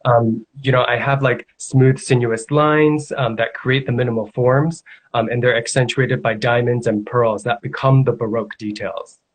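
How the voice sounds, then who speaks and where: A man's voice: mid-range voice, steady tone, poor audio. Hong Kong man with frequent fillers. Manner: measured, hesitant with many fillers. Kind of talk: video call interview. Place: home studio. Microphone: video call.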